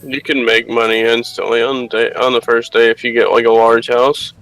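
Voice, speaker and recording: A man's voice: mid-range voice, little pitch movement, moderate pace, fairly bright tone, soft background music, low-quality recording. A man talking casually with friends while playing an online game. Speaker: co-player. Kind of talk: casual gaming chat.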